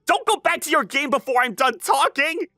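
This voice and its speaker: A man playing a flustered, introverted high school boy and aspiring writer in an anime-style comic dub, animated and prone to exasperated lecturing. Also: moderate pace, faint music behind, heavily acted. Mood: exasperated, frantic.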